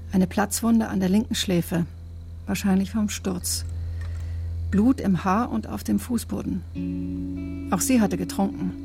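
Brusque doctor, music faintly behind. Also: female voice, medium pitch, creaky, warm tone. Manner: matter-of-fact, clipped, wry. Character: brusque, sardonic woman emergency doctor giving testimony. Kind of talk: German radio drama, dry first-person narration.

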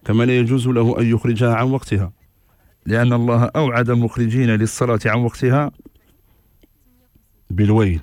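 A man delivers religious rulings on a radio show, quick and authoritative, quoting texts one after another. His voice is dry and close to the mic. He sounds sure and steady throughout. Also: medium pitch, dry recording. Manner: measured, authoritative, cites scripture and scholars. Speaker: religious scholar answering questions.

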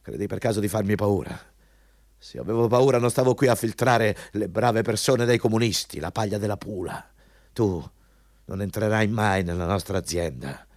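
An actor playing a bullying, scornful company recruiter in a radio drama, dismissive and contemptuous. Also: male voice, lowish voice, lively intonation, moderate pace, a little mellow, dry recording, clean studio sound. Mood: contemptuous anger. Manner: scornful, dismissive, barking questions. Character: contemptuous, bullying male company recruiter, foul-mouthed anti-communist.

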